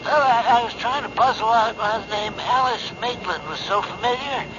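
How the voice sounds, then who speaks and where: A courteous middle-aged man making a call in a vintage radio mystery. His voice is high, and he rambles in a halting, deferential way. The old recording sounds dull and noisy. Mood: earnest, hesitant. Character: polite, hesitant middle-aged male caller remembering. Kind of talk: 1970s radio drama acting.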